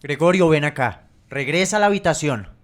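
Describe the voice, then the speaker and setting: A man's voice: a little high, lively intonation, fairly quick, close to an everyday voice. A man playing a stern, impatient middle-aged father in an amateur radio play, with rain-like hiss.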